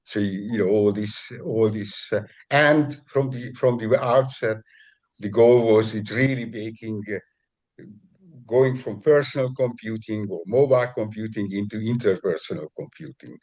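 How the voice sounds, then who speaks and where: Halting low-voiced man on a call. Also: a little mellow. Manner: halting, many restarts and repeated words. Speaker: guest describing his work. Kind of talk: casual video call conversation.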